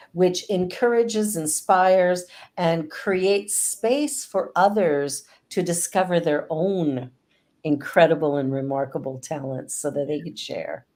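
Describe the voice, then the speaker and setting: Low-voiced effusive woman host. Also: a little mellow. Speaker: host and spiritual director. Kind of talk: casual interview chat.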